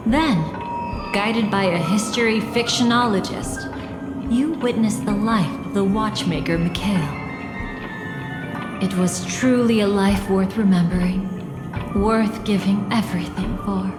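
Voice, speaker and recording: An actress playing a poised, wistful storyteller in a video game, narrating bittersweet memories in a measured, elegant, reverberant voice. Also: female voice, medium pitch, over music, natural voice. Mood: wistful, reflective. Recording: heavy echoing hall reverb.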